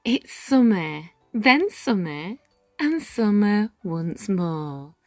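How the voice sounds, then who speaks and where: A woman reading a rhyming children's picture book aloud, with measured, dramatic phrasing and deliberate pauses. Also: medium pitch, very animated, darkish tone, no echo. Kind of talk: expressive children's read-aloud narration in rhyme. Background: music.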